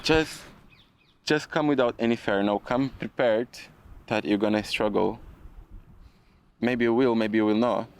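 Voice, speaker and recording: A young man from Brazil with a Brazilian accent, speaking English as a second language in a relaxed podcast chat, often adding "you know". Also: medium pitch, measured pace, pauses often, smooth. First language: Portuguese. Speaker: guest. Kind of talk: casual podcast conversation.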